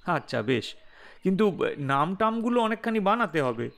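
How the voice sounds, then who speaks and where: A man's voice: high-pitched, sing-song, normal speed, vocal fry, fairly bright tone, heavily acted. A man playing a wry, self-mocking and reluctant writer in a comic audio drama.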